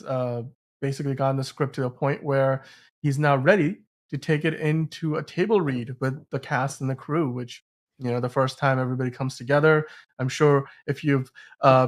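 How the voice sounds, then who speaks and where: A man with an average-pitched voice hosts a casual film news discussion. He explains things conversationally, pausing mid-sentence as he finds his words. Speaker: podcast host.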